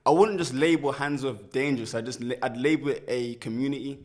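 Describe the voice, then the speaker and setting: Young man, mid-pitched and easygoing, thinks aloud with many restarts. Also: a little fast. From Birmingham, England. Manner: relaxed, reflective, many restarts and fillers. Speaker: guest, musician. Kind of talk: casual interview.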